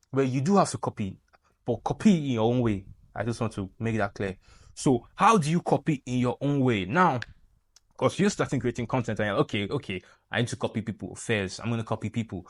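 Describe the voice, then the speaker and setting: A man's voice: mid-range voice, a little fast, no room sound, studio quality. Playful young Nigerian man. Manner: animated, playful, jokey asides. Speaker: YouTube creator. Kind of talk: casual tutorial talk to camera.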